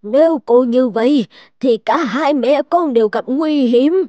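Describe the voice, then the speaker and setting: A woman voicing a kindly, anxious old village midwife in an animated fairy tale, with an earnest, drawn-out delivery. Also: high-pitched, dry recording, highs cut off. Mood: urging. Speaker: voice actor.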